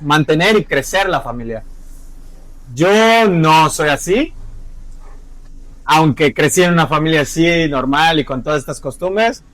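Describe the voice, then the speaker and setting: A man's voice: medium pitch, a bit bright, dry recording. A Mexican man with a Mexican accent who hosts a podcast, chatting casually and agreeably. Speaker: podcast host. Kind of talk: casual conversation.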